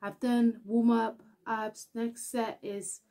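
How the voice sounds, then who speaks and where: A woman's voice: flat delivery, slow, dark tone. Young woman vlogging between workout sets. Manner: breathless and low-voiced between workout sets. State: out of breath. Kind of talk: casual vlog chat. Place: living room at home.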